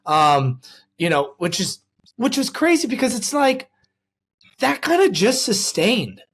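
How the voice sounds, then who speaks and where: A man's voice: very high voice, big pitch swings, measured pace, fairly bright tone, slightly rough. A man hosting a casual sports podcast, talking with a fan's enthusiasm.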